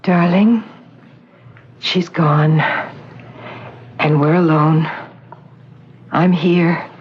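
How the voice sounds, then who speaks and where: An actress playing a woman who poses as a patient's mother, speaking in a soft, coaxing, soothing way. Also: deep voice, fairly even intonation, drawn-out words, husky, lo-fi sound, full character voice. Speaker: visitor posing as the patient's mother.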